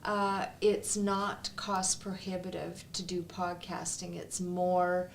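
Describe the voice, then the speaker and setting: A woman interviewing her guests in a relaxed chat, asking probing follow-up questions. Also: lowish voice, slow pace, vocal fry, slight background noise. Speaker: interviewer, business co-founder. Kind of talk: casual interview.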